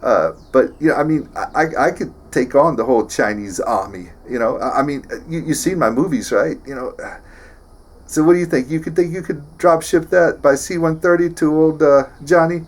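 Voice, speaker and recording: A man playing a slow-witted, tough action-movie hero who stammers and mumbles through favours and boasts in a comedy sketch. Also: a little high, pitched above the performer's own voice, natural voice. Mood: dim-witted bragging. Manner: halting, mumbling, stammering braggadocio.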